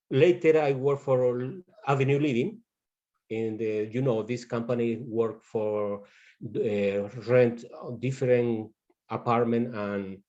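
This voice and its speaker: Slow-speaking Chilean man, slightly muffled. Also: medium pitch, warm tone. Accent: Chilean Spanish. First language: Spanish. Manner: halting non-native English. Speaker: pest control specialist presenting. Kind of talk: webinar presentation.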